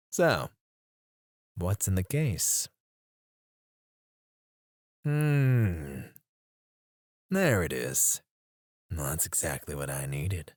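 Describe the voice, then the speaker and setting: A man voicing a teasing, menacing male captor who interrogates a prisoner in an ASMR roleplay, in a slow, intimate voice with soft chuckles and whispers on a close mic. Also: on the low side, dry recording.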